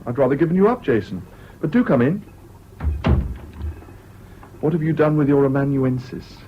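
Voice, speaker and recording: An actor playing a reserved, sceptical young English student in a radio drama, speaking with polite restraint. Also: male voice, medium pitch, animated, normal speed, lightly acted. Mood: concerned, questioning. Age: young adult. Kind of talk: 1970s British radio drama acting. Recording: dull, hissy old radio recording.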